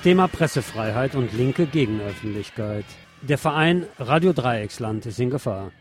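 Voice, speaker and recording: A man's voice: medium pitch, lively intonation, normal speed. A man reads scripted political commentary as a radio presenter. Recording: music playing behind the voice. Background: music.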